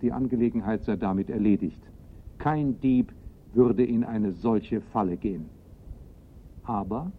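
A man's voice: medium pitch, a little hiss or hum, natural voice. Male radio play narrator, tinny sound. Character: composed male storyteller of a crime drama.

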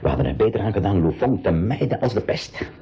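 An actor playing a calm, reassuring uncle who takes charge of young travellers in a radio drama. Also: male voice, lowish voice, fast talker, slightly rough, muffled recording, exaggerated voice. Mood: worried, resigned. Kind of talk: 1980s Dutch-language school radio drama acting.

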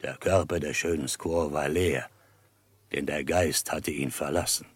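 A man's voice: very deep voice, fairly even intonation, a little slow, slightly rough, full character voice. A male actor playing a dignified chief in a German radio drama, speaking in a solemn, ceremonious, declamatory storyteller's manner. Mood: solemn, recounting. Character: Matoshako, dignified Osage chief telling of past deceit.

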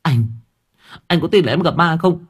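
A trembling young pregnant woman on the edge of tears, as a male narrator puts her on. The voice jumps far above his own, husky and breathy, stammering and pleading. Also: a little high, animated, normal speed, soft background music, pitched above the performer's own voice.

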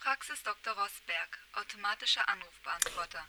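A woman's voice: medium pitch, animated, moderate pace, bright, forceful tone. A woman voicing a formal, impersonal answering machine announcement in a radio play, heard over a telephone.

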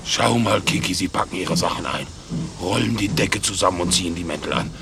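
A man voicing a naive, soft-hearted, greedy pet dog, earnest and pleading, in a radio play. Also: low-pitched, fairly quick, creaky, heavy background noise. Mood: excited.

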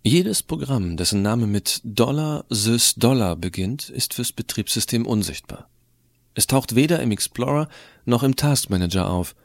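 A man's voice: medium pitch, lively intonation, moderate pace, gravelly, no echo. A man playing a cheeky, rebellious, tech-savvy teenage schoolboy who narrates an audio drama, explaining things to the listener in a colloquial, wry way. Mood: matter-of-fact, scornful.

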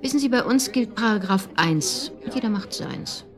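An actress playing a gossipy yet guarded office worker in a radio crime drama, offhand and dryly sardonic. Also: female voice, a little high, expressive, moderate pace, faint music behind, close to an everyday voice. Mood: dry, evasive.

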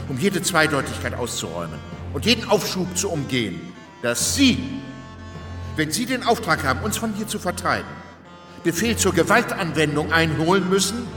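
Radio drama: fiery revolutionary deputy, haughty, bright forceful high voice, sweeping delivery. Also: male voice. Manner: theatrical, emphatic oratory. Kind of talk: German radio play acting, declamatory speech. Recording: echoey large hall, music underneath.

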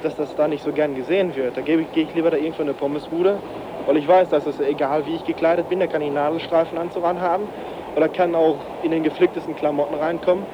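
A man with a fairly high voice talks casually as an interviewee. The recording is dull, with clear traffic-like noise from outdoors behind him. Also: fairly even intonation, quick pace. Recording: dull cassette tape with street noise.

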